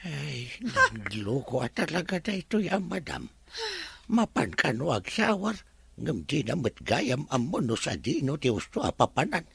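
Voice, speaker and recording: A bumbling, apologetic old manservant in a radio drama. The voice is gravelly and sharp, swinging up and down as he halts and whines. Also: a little high, sing-song, dry recording, full character voice. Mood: confused. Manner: halting, whining, apologetic, full of ay and ah. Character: flustered, bumbling older male servant of a demanding madam. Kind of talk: Ilocano radio drama acting.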